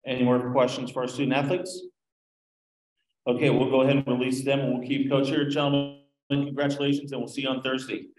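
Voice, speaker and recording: An older man moderating a press conference from a podium microphone, brisk and procedural. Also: medium pitch, monotone, a little mellow. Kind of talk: formal announcing. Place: arena press room. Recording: echoey press room.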